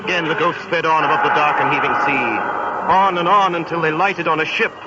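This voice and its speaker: A man's voice: on the high side, fairly even intonation, fairly quick, very noisy. Dramatic male radio narrator. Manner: rich, dramatic storytelling. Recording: dull 1930s radio broadcast with loud music.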